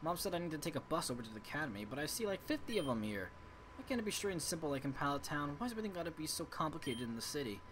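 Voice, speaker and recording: A man's voice: fairly high pitch, big pitch swings, very fast, background noise. A man voicing an earnest, grumbling sixteen-year-old boy trainer, reading visual novel lines aloud over music. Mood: flustered. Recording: game music behind the voice.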